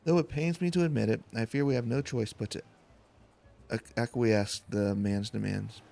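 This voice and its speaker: A man's voice: mid-range voice, steady tone, moderate pace, creaky, mellow, natural voice. A man voicing an earnest, solemn fantasy game warrior with formal, dramatic speech. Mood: reluctant, grave. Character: indignant, solemn fantasy warrior fretting over looming war. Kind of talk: fantasy game dialogue read aloud.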